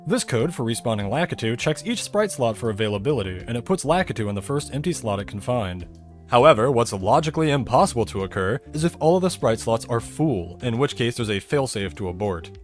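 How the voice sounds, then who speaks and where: A man narrates a scripted technical explainer about a video game. His pitch moves up and down a lot as he lays things out step by step, with dry humor in the delivery. Music plays along with the voice. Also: lively intonation, brisk.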